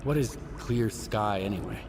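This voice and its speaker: A man's voice: lowish voice, moderate pace, noisy background, lightly acted. An actor playing a hard, distrustful male wanderer in a video game, speaking in blunt, terse questions and orders. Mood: probing. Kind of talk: video game cutscene acting.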